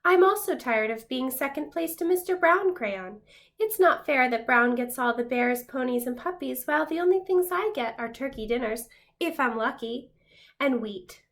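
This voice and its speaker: A woman's voice: high-pitched, lively intonation, normal speed, fairly bright tone. A woman voicing a proud, indignant beige crayon from a storybook, complaining emphatically.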